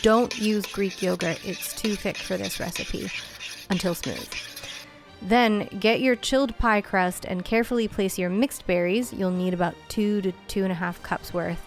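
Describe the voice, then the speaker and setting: A woman's voice: mid-range voice, moderate pace, faint music behind. A woman from the United States hosting a video essay, narrating a recipe with dry, sarcastic humor and casual swearing. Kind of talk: casual voice-over narration over cooking footage.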